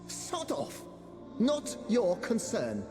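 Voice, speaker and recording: The bickering ghost of a gambling nobleman in a high, wildly swooping voice. He sounds indignant and exasperated, and the game audio carries a long reverb with clear music underneath. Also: wildly swooping pitch, drawn-out words, exaggerated voice. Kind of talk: video game voice acting.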